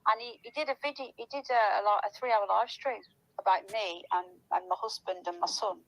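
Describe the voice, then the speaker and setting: A woman's voice: medium pitch, a little fast, rough recording. A woman chatting casually and gossipily in a rambling, conversational way.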